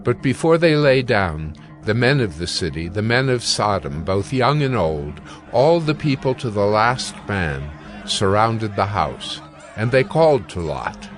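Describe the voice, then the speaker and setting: A man's voice: fairly low pitch, very animated, measured pace, soft background music. A man narrating scripture as a scripted dramatized reading.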